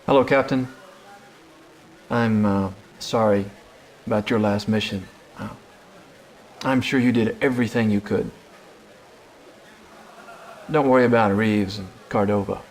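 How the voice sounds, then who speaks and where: Hesitant, low-voiced kindly soldier. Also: male voice, fairly even intonation. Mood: awkward, sympathetic. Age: middle-aged. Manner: hesitant, halting, subdued. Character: awkward, kindly male resistance soldier. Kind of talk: video game cutscene acting.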